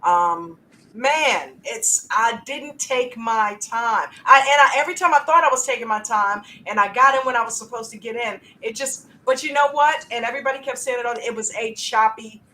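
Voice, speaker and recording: A woman in her fifties chatting casually with fellow traders on a stream, animated and quick to laugh. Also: on the high side, poor audio. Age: 50s. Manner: animated, laughs often, says "dude". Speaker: trading stream host. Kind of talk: casual chat.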